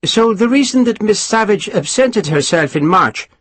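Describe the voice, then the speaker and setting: A man's voice: sits high, expressive, normal speed, fairly bright tone, breathy edge, no echo, pitched above the performer's own voice, heavily acted. A man voicing a genial, inquisitive army officer who asks pointed questions. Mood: probing.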